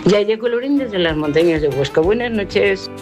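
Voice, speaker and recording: A woman's voice: mid-range voice, lively intonation, normal speed, over loud music. A woman calling a radio show, cheerful and exclamatory as she recalls seeing the sea for the first time. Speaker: caller.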